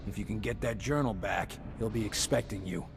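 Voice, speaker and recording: An actor playing a world-weary, cynical mercenary leader in a video game, gravelly voiced, blunt and sardonic. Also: male voice, on the low side, brisk. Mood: bitter, resigned. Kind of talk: scripted video game dialogue. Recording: clear ambient vehicle noise. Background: ambient noise.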